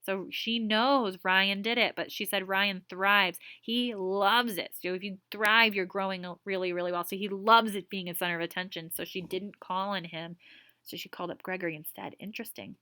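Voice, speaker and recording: Woman, teacher reading aloud, soft, explanatory, mid pitch, dry close sound. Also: fairly even intonation, moderate pace, smooth, dry recording. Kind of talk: storybook read-aloud with explanations.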